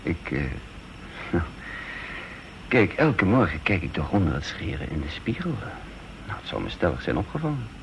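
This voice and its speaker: Deep-voiced, guarded intelligence chief. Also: male voice, sing-song, moderate pace, vocal fry, long pauses, full character voice. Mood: evasive. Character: guarded male intelligence chief, flippant facade over gravity. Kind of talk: radio drama acting with first-person narration. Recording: dull, noisy old radio drama recording.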